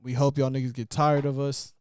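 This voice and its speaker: A man's voice: mid-range voice, level tone, a little fast, darkish tone, smooth, dry recording. A man in his twenties co-hosting a podcast, laid-back and slangy, talking straight to his listeners. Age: 20s. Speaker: podcast co-host. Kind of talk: casual chat.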